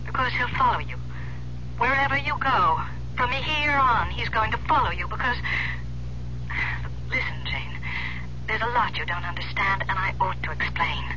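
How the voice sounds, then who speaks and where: A woman's voice: on the high side, animated, normal speed, long pauses, lightly acted. A woman playing an urgent, persuasive but guarded police detective in an old-time radio drama. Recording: muffled, noisy old radio broadcast recording.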